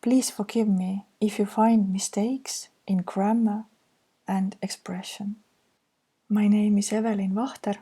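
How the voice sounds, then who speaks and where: A woman with an Estonian accent, a native Estonian speaker, working as an anxiety counselor and reading course slides aloud in a gentle, soft-spoken way. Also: mid-range voice, steady tone, a little slow, breathy edge.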